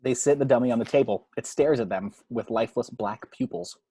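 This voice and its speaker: A man with a mid-pitched voice narrates the action of a screenplay. He reads scene headings and descriptions as scripted narration. The sound is close and dry, without room echo. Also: a little fast, creaky, warm tone, slightly rough.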